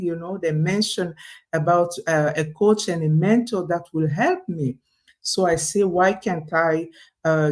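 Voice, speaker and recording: A woman from Congo, a native French speaker with a Congolese French accent, telling her own story as an interview guest, repeating phrases for emphasis. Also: fairly low pitch. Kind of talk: personal storytelling in casual conversation.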